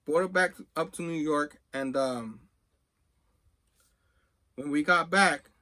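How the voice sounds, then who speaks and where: A man's voice: a little high, slow pace, bright, forceful tone. A middle-aged man telling a personal story casually, rambling conversationally and acting out bits of remembered dialogue. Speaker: host of a comics collecting video channel.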